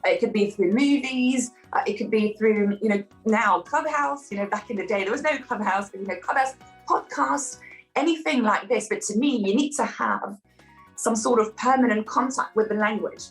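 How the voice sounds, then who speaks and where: A woman from France with a French accent, a language teacher chatting casually as an interview guest, relaxed and full of fillers. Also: fairly high pitch, normal speed, faint music behind, low-quality recording. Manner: relaxed, chatty, many fillers, laughs easily.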